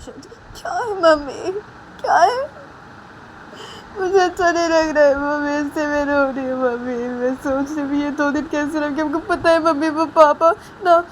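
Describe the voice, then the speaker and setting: Over clear vehicle noise comes a high-pitched young mother played by a woman storyteller. She is distraught and tearful, pleading with her own mother in a plaintive, repetitive wail, as in a homemade story drama. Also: moderate pace, natural voice. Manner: plaintive, repetitive wailing complaint. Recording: clearly noisy recording with vehicle sounds.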